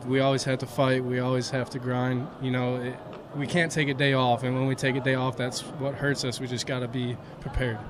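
A young man, a college baseball pitcher, answering post-game questions modestly outdoors at a ballpark, with lots of "you know" fillers. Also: level tone, brisk. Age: young adult. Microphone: handheld mic held by interviewer. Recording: outdoor noise around the mic.

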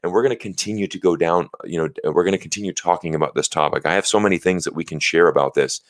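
A man's voice: deep voice, fast, no room sound. An American man in his thirties hosting a casual live stream, talking in an earnest, explanatory way with plenty of "you know" fillers. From United States. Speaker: livestream host. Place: small home studio, indoors. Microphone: large studio mic on a stand close in front.

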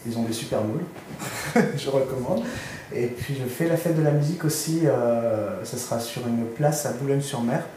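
A man's voice: mid-range voice, normal speed, warm tone. French musician guy from the north, casual interview answers, really echoey noisy recording. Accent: French from France. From northern France. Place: small furnished indoor studio. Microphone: handheld microphone. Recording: very echoey room with clear noise.

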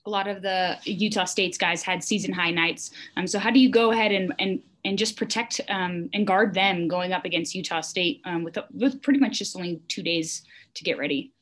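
A woman's voice: on the low side, normal speed, fairly bright tone. A woman reporter asking a coach questions at a press conference, tentative and restarting her sentences.

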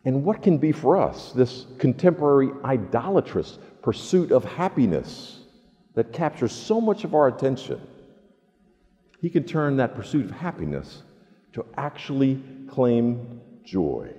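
An older man preaching a sermon as a pastor, earnest and deliberate, leaning on emphatic repetition in a church sanctuary. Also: medium pitch, very animated, mellow. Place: large church sanctuary, indoors. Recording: long hall reverb.

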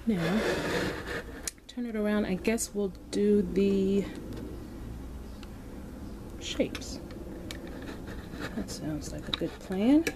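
A woman hosting a kids' toy video, narrating as she plays with modeling clay in a slow, gentle, playful way with soft asides. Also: medium pitch. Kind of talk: hands-on toy play narration for kids. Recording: background noise.

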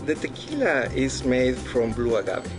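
A man from Mexico with a Mexican accent, earnestly explaining a dish and its history in a halting manner with many fillers. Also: medium pitch, big pitch swings. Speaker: restaurant guest. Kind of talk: explaining food and history in conversation. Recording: music playing behind.

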